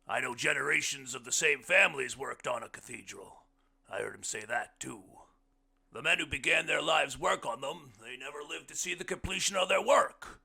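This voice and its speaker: A man's voice: medium pitch, moderate pace, gravelly, sharp tone, husky, voice pushed up, heavily acted. A man voicing an easygoing, curious, bearded blind houseguest in an audiobook, with an emphatic, folksy way of talking. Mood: musing, jovial. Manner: emphatic, folksy, calls listener bub. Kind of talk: audiobook character voice.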